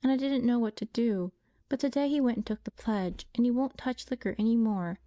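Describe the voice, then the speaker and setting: A woman's voice: on the high side, a little fast, dark tone, no room sound, voice pushed up, natural voice. A woman voicing a brave young working woman who comforts her invalid sister. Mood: reassuring.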